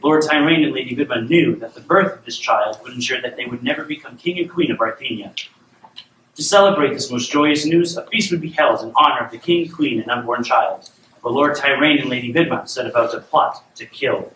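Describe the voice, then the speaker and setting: A man playing a warm, firm father who reads his daughter bedtime stories, in scripted drama. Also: mid-range voice, fairly quick, natural voice. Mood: storytelling. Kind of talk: scripted drama dialogue and storybook reading. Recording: roomy, distant, noisy room sound.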